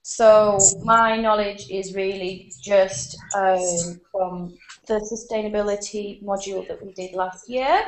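A woman's voice: medium pitch, fairly even intonation, slow pace. A woman, a student introducing herself casually and tentatively on a video call. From Yorkshire, England. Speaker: course student. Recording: echoey video call, faint noise.

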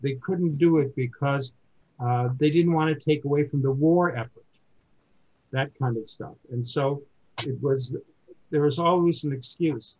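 A man in his seventies, born in Germany and raised in the United States, giving an informal talk to a community group in a halting way, with many restarts and fillers. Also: a little high, darkish tone, dull audio. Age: 70s. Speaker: book reviewer giving a talk.

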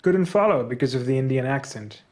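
A man's voice: mid-range voice, lively intonation, a little fast, darkish tone, natural voice. A man reading out anonymous online comments in a talk clip, played from an archive recording.

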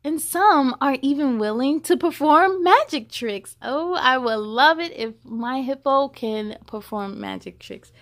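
A young woman reads a children's picture book aloud in a high, very lively voice that swoops up and down. She takes her time, stretching the important words, and drops in chatty comments for young listeners. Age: young adult. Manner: animated, drawn-out emphasis on rhymes. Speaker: children's story time host.

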